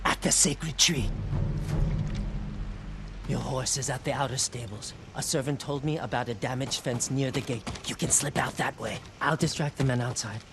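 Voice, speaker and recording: An actor playing a loyal, quick-witted ally in a cinematic game, earnest and urgent. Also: male voice, medium pitch, natural voice. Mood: hushed urgency. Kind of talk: dramatic cinematic game acting. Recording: clear background noise.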